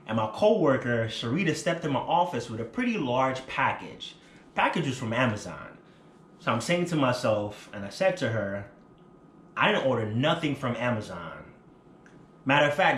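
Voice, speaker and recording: A man's voice: medium pitch, fairly bright tone, echoey room, a little hiss or hum. A man with a Jamaican accent, chatting to viewers about his cooking in a casual vlog style from his home. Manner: chatty, storytelling, addresses viewers directly. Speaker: cooking vlogger. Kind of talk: casual cooking show chat. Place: small apartment living room, then home kitchen.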